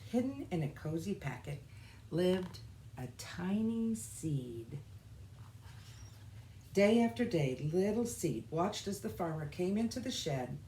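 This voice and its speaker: A woman reading a children's storybook aloud with expressive, deliberate phrasing. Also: fairly low pitch, slight background noise. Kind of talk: scripted children's storybook narration.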